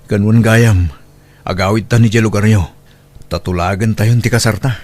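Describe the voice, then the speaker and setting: A man's voice: lowish voice, fairly even intonation, moderate pace, darkish tone, natural voice. A man with an Ilocano accent playing a humble, anxious young single father in a radio drama, hesitant and earnest.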